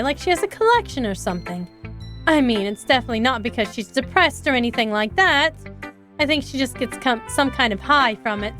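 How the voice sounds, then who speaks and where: A poised, confident schoolgirl club president from a visual novel, read aloud by a woman. Her high voice is smooth and persuasive, friendly on the surface, over faint game music. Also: fairly bright tone. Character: confident, composed teenage club president girl.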